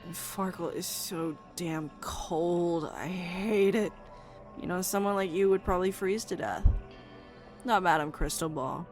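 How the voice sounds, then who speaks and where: A woman's voice: fairly low pitch, a little slow, darkish tone, soft background music, lightly acted. A woman playing a sarcastic, bratty vampire deity in an audio drama, flippant, slangy and impatient. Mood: mocking, bored. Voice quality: creaky. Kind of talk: visual-novel audio drama.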